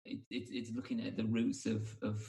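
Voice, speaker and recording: A man's voice: fairly low pitch, level tone, normal speed, mellow, recorded from a distance. A man chatting casually about films, speaking thoughtfully and often rephrasing as he goes. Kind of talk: casual film chat.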